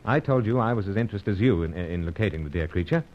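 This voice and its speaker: Deep-voiced suave secret agent. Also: male voice, lively intonation, quick pace. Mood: firm, dismissive. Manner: dry, urbane, old-fashioned clipped phrasing. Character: suave, unflappable British male secret agent narrating his adventures. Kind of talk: old radio adventure serial acting. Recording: dull lo-fi old radio recording.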